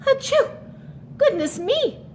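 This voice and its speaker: Storybook little boy mouse, played by a woman: very high, squeaky, excitable, easily scared, swooping in pitch. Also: takes it slowly, slight background noise, heavily acted. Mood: startled. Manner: exclaiming, wailing. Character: small, excitable, easily frightened young male mouse.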